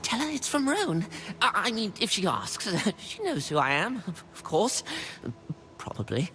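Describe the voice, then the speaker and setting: An actor playing a bookish apprentice librarian in a video game, fussy, nervous and awkward, prone to stammering and correcting himself. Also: male voice, high voice, big pitch swings, unhurried, pushed and bright, exaggerated voice. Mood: flustered, sheepish. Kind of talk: video game character dialogue. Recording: long reverb, faint background noise.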